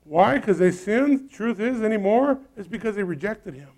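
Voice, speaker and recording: A pastor preaching a sermon, swinging between loud urgent peaks and low quiet asides. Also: male voice, expressive, moderate pace.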